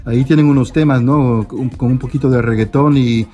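A man's voice: mid-range voice, fairly even intonation, brisk, warm tone, dull audio, faint music behind. A man hosting a radio interview, enthusiastic and hesitant, with many fillers and restarts. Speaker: radio host.

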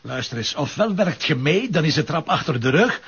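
A man's voice: on the high side, sing-song, fairly quick, vocal fry, a bit bright, husky, highs cut off, heavily acted. A man with a Flemish accent playing a stubborn, sarcastic police commissioner in a crime drama, pushy and mockingly polite. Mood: sarcastic, threatening.

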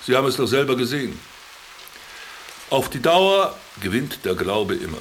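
A man's voice: medium pitch, big pitch swings, normal speed, a little hiss or hum, close to an everyday voice. An actor playing a world-weary exiled man in a radio drama, dryly ironic and formal, looking after his companion. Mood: dry, sardonic. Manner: dry, ironic, formal address. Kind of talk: German radio drama dialogue.

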